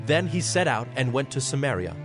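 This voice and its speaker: A male narrator reads a dramatized Bible in a formal, measured way. His pitch is average for a man. Music is clearly heard behind him. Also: sing-song. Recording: background music under the voice.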